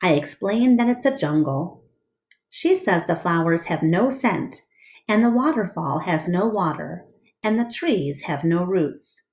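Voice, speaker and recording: A woman teacher reading a storybook aloud to her class with expressive narration. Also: on the low side, unhurried.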